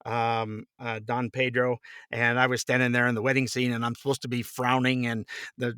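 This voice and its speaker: A man's voice: mid-range voice, completely flat delivery, normal speed, sharp tone, clean tone, no echo, clean studio sound. A middle-aged man chatting casually as an interview guest, telling anecdotes in a rambling, chuckling way. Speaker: podcast guest, voice actor.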